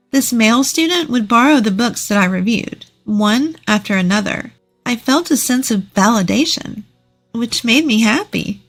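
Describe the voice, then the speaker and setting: A woman narrating a scripted first-person story. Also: a little high.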